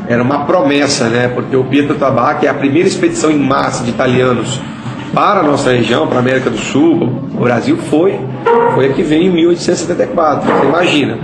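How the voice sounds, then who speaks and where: A man's voice: medium pitch, noisy background. A man from Espírito Santo with a Capixaba accent, answering interview questions in an explanatory way and often tagging sentences with "né?". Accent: Capixaba Brazilian Portuguese. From Espírito Santo, Brazil. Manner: explanatory, frequent "né?" tags. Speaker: interviewee, political candidate. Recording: echoey with background ambience.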